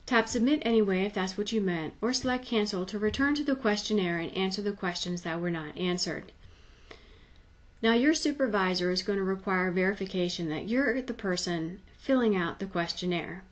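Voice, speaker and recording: A woman's voice: mid-range voice, normal speed. A woman giving a conversational, explanatory tutorial voice-over in a plain-spoken, instructive manner. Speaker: tutorial presenter.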